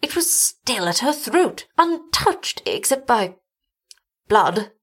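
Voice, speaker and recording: A woman's voice: mid-range voice, sing-song, normal speed, gravelly, breathy edge, heavily acted. A woman playing an anxious, devoted husband, a doctor, with earnest, emotional delivery in a gothic story. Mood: anguished, shaken. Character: Doctor Gahira, anxious devoted husband, gentle then anguished.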